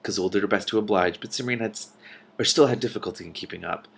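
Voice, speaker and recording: A man with a fairly low voice reads fiction aloud as a host. He shifts between narration and casual, exclamatory reactions in an animated manner. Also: fast, vocal fry, husky. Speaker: host reading a novel aloud with commentary.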